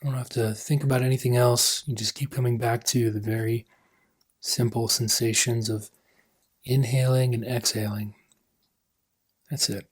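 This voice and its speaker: Husky man softly guiding a meditation. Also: medium pitch, little pitch movement. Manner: gentle, unhurried phrases with pauses, trailing off softly. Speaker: meditation coach. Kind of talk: guided meditation.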